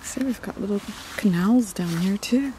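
A middle-aged woman chatting casually and gently while walking outdoors, as in an ASMR roleplay. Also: medium pitch, rough recording. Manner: gentle, unhurried, meandering. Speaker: ASMR video creator. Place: outdoors on paths through woods and streets. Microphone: handheld camera at arm's length. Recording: outdoor ambient noise, passing traffic.

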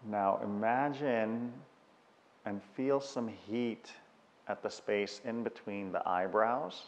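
A man guiding a meditation with unhurried, measured instructions and long pauses, in a dull recording with long reverb. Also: on the low side. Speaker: meditation guide. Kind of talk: guided meditation.

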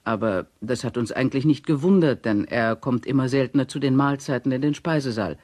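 A man reading a witness statement in a measured, formal, matter-of-fact way as radio play narration. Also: medium pitch, a little mellow, no echo, clean studio sound.

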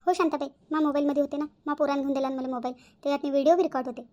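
A woman's voice: sits high, fairly even intonation, racing, dark tone, lo-fi sound, natural voice. A woman voicing a timid, curious village sidekick in a cartoon, exclamatory and questioning, with a Varhadi Marathi accent. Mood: eager. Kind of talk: cartoon character dialogue.

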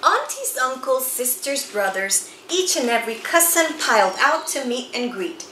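A middle-aged woman reads a picture book aloud to children, with theatrical, deliberate, emphatic phrasing. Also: high voice, big pitch swings. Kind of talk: expressive children's read-aloud storytelling. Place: small indoor room with bookshelves and chalkboard. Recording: roomy sound with faint background noise.

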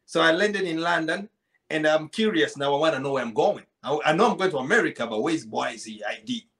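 A high-voiced man with a Central African accent tells a personal story in a casual, conversational way. He often repeats phrases and slips into reported dialogue as he goes. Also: expressive, brisk, a bit bright. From Congo. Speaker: podcast guest, poet. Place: small indoor room at home, video call.

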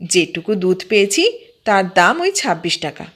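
Woman voicing a bossy, sharp-witted young woman. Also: big pitch swings. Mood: firm. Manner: brisk, scolding, raised pitch. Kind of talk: audiobook character voice.

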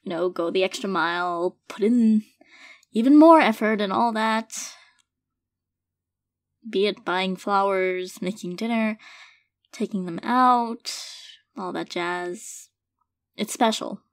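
Slow-talking, affectionate girlfriend played by a woman. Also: mid-range voice, no echo. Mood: loving, sincere. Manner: soft-hearted, hesitant, halting, gently sincere. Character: affectionate girlfriend tenderly reassuring her partner. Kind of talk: intimate audio roleplay, casual monologue to listener.

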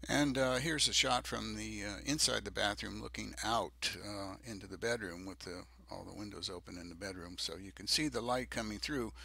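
An older man narrating a DIY project video off the cuff, halting and hesitant, with many ums. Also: fairly low pitch, gravelly, bright, forceful tone, no room sound. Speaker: DIY presenter.

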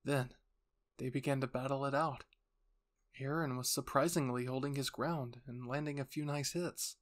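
A man narrates a dramatic scripted tale. His voice sits at a medium male pitch, and he delivers it as a storyteller. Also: steady tone, moderate pace. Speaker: narrator and voice actor.

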